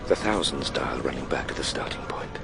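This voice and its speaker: A low-pitched, weary Victorian gentleman recounts a strange journey with dramatic intensity. His phrases come short and halting, over prominent music, in the manner of a radio play. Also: animated. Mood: hushed, slowing.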